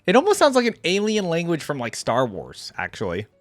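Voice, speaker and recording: A man's voice: fairly high pitch, huge pitch swings, a little fast, dry recording. Dry, close-miked man reacting casually. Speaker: reaction video host. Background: faint music.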